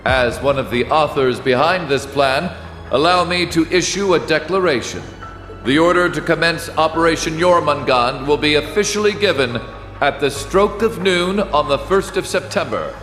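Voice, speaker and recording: A man's voice: on the high side, moderate pace, a bit bright, natural voice. A man playing a scheming nobleman in a video game cutscene, speaking in a formal and composed way. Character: smooth, scheming nobleman announcing war plans. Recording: echoey hall reverb, music behind.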